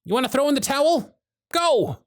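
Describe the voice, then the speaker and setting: A man's voice: extremely high pitch, very animated, normal speed, no echo, clean studio sound. A man voicing a harried, grumpy male elf supervisor in an audio roleplay, sarcastic and scolding. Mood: pleading. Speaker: workshop supervisor.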